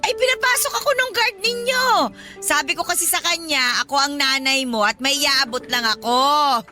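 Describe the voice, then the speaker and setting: A woman's voice: high voice, animated, normal speed, sharp tone, soft background music. A woman playing a lonely, demanding old godmother who talks in a pushy, coaxing way in a radio drama. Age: older.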